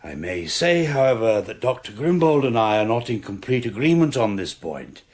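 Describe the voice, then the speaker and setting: A man's voice: medium pitch, very animated, moderate pace, darkish tone, lightly acted. A British man playing an authoritative, self-assured surgeon in a radio drama, speaking formally and precisely.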